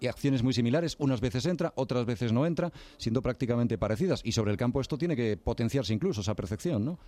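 Un hombre con acento de España que entrevista sobre deporte en tono familiar y directo, encadenando preguntas. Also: male voice, mid-range voice, lively intonation, quick pace, dark tone, no room sound. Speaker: sports radio interviewer. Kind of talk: conversational sports interview.

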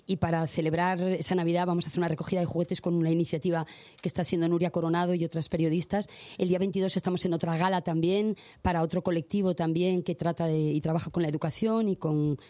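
A woman's voice: fairly low pitch, dark tone, dry recording. A woman guest, a singer and activist, talking passionately in long runs. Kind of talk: casual interview chat.

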